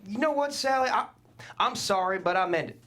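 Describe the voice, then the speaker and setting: A man's voice: sits high, lively intonation, brisk, fairly bright tone. An actor playing a meek middle-aged father at a table read, stammering and sheepish, prone to sudden outbursts. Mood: resentful. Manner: stammering, sheepish, bursts out angry. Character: meek middle-aged dad, hobby crafter, Bert.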